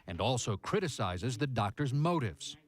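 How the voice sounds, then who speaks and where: A man's voice: mid-range voice, very animated, moderate pace. A man with an American accent reading a scripted television news report as a reporter.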